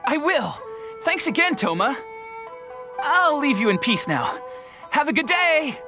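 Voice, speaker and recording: An actor voicing a grateful, high-energy male merchant in game dialogue, eager and effusive. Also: very animated, measured pace, over music, heavily acted.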